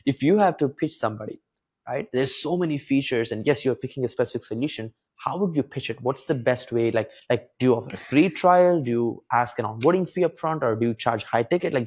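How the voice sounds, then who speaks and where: A man's voice: mid-range voice, brisk, a little mellow. A young man from India hosting an interview, eager and chatty, with lots of "like" fillers. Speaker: podcast host. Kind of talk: casual interview chat.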